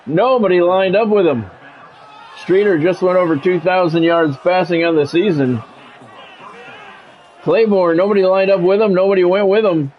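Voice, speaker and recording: A man's voice: sits high, normal speed, pauses often, muffled recording, slight background noise. A man calls football play-by-play in short, clipped phrases. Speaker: play-by-play announcer. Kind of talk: American football game commentary.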